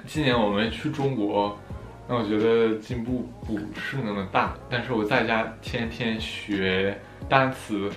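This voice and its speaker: A man's voice: on the low side, fairly even intonation, slow. A young man from France answering viewer questions in a casual vlog, playful and joking at his own expense. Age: young adult. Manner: playful, jokey, laughs at himself. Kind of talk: casual Q&A vlog, switching between French and Chinese. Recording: echoey room with soft background music.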